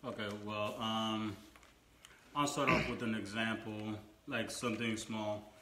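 A man's voice: on the low side, steady tone, unhurried. A man in his early twenties co-hosting a podcast, telling a personal story in casual conversation. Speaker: podcast co-host. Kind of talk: casual chat, personal anecdote. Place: indoor room with brick and wood-panel wall. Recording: echoey room with background noise.

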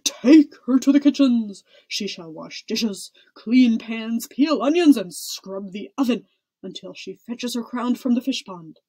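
A woman's voice: a little high, animated, measured pace, darkish tone, husky, no room sound, exaggerated voice, poor audio. A woman voicing a stern, exasperated king and father in a storybook, commanding and blustering. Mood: enraged. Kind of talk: storybook character voice.

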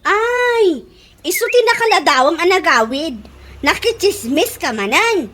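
A woman's voice: extremely high pitch, very animated, unhurried, a bit bright, a little hiss or hum, full character voice. A woman playing a nagging, suspicious middle-aged village wife in an Ilocano comedy radio drama, whiny and scolding with a Filipino Ilocano accent. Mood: exasperated.

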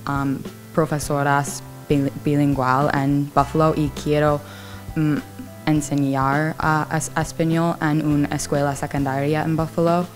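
A young woman whose first language is English halts through learner's phrasing with restarts and "um". Her voice is very low, slow and flat. She speaks into a handheld mic. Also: faint music behind. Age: young adult. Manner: halting with restarts and "um". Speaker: student. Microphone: handheld reporter mic.

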